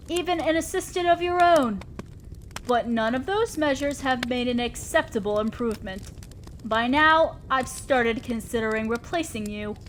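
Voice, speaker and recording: High-voiced, imperious demon lady boss, acted by a woman. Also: a little hiss or hum. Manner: commanding, condescending, scolding. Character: imperious female demon lord, stern, exacting boss of hell. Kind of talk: scripted roleplay audio drama monologue.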